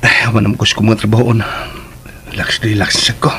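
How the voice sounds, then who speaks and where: A man's voice: lowish voice, fast talker, slight background noise. An actor with a Filipino Cebuano accent playing a lovelorn, cheerful young man who chats expressively in a radio drama. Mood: playful, musing. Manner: expressive, chatty, talking to himself.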